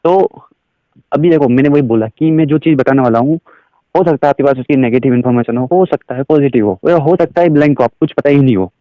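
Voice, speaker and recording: A man with an Indian accent giving an explanatory sales pitch over a recorded phone call, asking questions and mixing in English phrases. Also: fast, no room sound. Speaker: direct selling recruiter.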